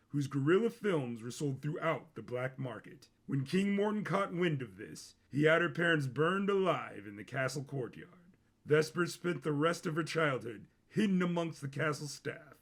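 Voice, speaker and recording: A man reading scripted dramatic fiction as the narrator of a story. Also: on the high side.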